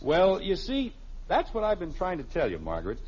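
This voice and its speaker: A man's voice: sits high, wildly swooping pitch, normal speed, a little mellow, breathy edge, full character voice. An American actor playing a stubborn, pompous middle-aged family father in a 1950s radio comedy, defensive and insistent. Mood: sheepish, flustered. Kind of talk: 1950s radio sitcom acting. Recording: noisy, muffled early 1950s radio recording.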